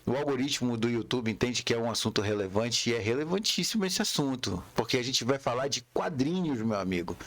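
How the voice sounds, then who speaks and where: A man hosting a podcast interview in casual, conversational Portuguese. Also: mid-range voice. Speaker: podcast host.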